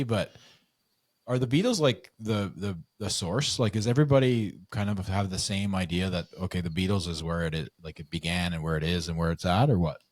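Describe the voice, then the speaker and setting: Casting note: male host, very low voice, dry close sound, curious. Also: darkish tone, dry recording. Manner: curious, easygoing questioner. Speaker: podcast host. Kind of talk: casual interview chat.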